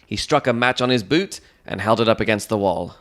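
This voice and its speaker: A male narrator reads a classic detective tale in the first person. His voice sits a little low, and he delivers the prose as scripted storytelling. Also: a little fast, a bit bright.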